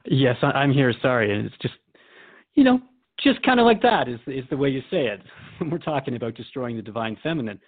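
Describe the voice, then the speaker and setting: A man's voice: mid-range voice, sing-song, fairly quick, narrow, tinny audio. A man playing a curious, wry radio host who questions his guest conversationally in an audio drama. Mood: thoughtful, hesitant. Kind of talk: scripted radio drama interview.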